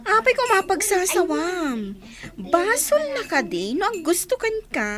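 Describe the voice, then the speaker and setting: A woman with an Ilocano accent acting a chatty, sympathetic young friend who questions and gently scolds, in a radio drama. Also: extremely high pitch, sing-song, unhurried, exaggerated voice. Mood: probing. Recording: noisy background ambience.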